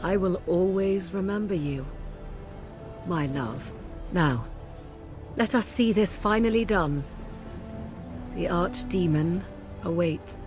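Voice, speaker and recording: A woman's voice: on the low side, takes it slowly, long pauses, music in the background. An actress playing a proud, guarded witch in a video game, formal and imperious in her speech. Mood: cool farewell.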